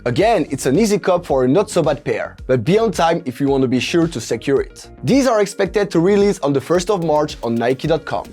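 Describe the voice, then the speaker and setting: A man's voice: a little high, animated, a little fast, few pauses, darkish tone, faint music behind. A young man with a French accent hosting a scripted sneaker review channel, speaking upbeat and straight to viewers from a small studio. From France.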